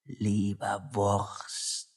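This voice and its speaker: A woman playing a childlike, muddled village fool in a radio play, babbling in disjointed, drawn-out singsong. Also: a bass voice, monotone, darkish tone, full character voice. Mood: dreamy, muddled. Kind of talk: German radio play acting.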